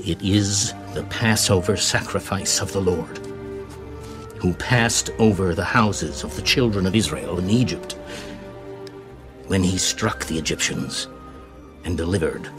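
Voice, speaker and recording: A grave, commanding Hebrew patriarch in an audio Bible drama. His voice sits very low and he delivers each instruction as a slow, weighty proclamation. Prominent music plays behind him. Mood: solemn, fervent.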